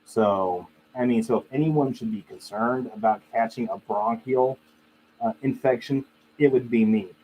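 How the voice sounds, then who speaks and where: A man's voice: medium pitch, moderate pace, warm tone. Man chatting casually over noisy audio. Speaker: livestream host. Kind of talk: casual chat with live viewers. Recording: clearly noisy live stream audio.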